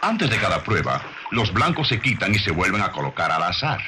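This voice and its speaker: Deep-voiced male documentary narrator, noisy soundtrack. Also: big pitch swings, pushed and bright. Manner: measured, formal, didactic. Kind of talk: scripted science documentary narration.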